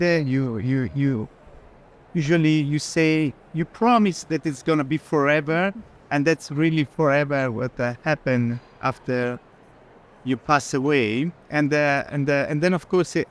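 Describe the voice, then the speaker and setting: A man with a somewhat high voice gives an informal explanation as a gallerist. He talks haltingly, repeating words as he builds each thought.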